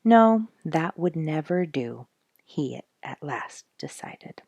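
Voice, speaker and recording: A woman storyteller reading a children's book expressively, with deliberate pauses and casual asides. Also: low voice, very animated, a little mellow, no room sound.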